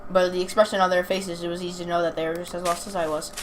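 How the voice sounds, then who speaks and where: A woman's voice: fairly low pitch, flat delivery, racing. A young woman casually commenting on a game and reading its text aloud, informal and chatty, stumbling over words now and then. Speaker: gaming video host. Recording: clearly noisy amateur home recording.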